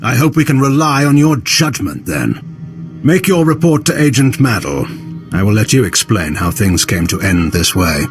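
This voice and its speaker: A man's voice: mid-range voice, very animated, moderate pace. A man playing a stern, haughty Imperial admiral in a video game, formal, clipped and commanding. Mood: cold, guarded. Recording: loud game music behind voice.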